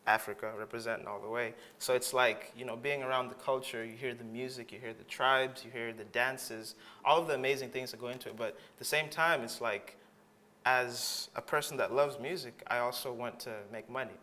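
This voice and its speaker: Bright-voiced enthusiastic man from Tanzania. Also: medium pitch, fairly even intonation, fairly quick. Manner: enthusiastic, rambling with many fillers. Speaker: graduate student guest. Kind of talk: casual interview answers.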